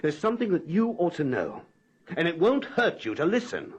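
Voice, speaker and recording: A man's voice: on the high side, huge pitch swings, normal speed. An actor playing a defiant man answering an accusation in a radio drama, sardonic and insistent. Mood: scornful, defiant.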